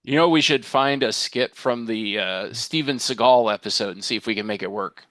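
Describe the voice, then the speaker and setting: A man's voice: medium pitch, moderate pace, a bit bright, no room sound. A man taking part in a casual group chat as a panel member.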